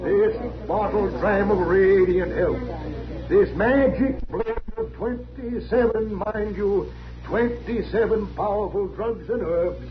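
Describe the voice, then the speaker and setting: An actor with an American accent playing a grandiose, folksy medicine-show salesman declaiming his pitch in a radio western. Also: slow pace, music in the background. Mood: grand, persuasive. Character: bombastic traveling medicine-show huckster selling tonic. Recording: dull old radio recording, crowd noise.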